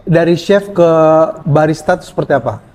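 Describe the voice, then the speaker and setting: A man, casually interviewing a cafe chef and prompting him with quick affirmations. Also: a little high, expressive, darkish tone. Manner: chatty, prompting with short affirmations.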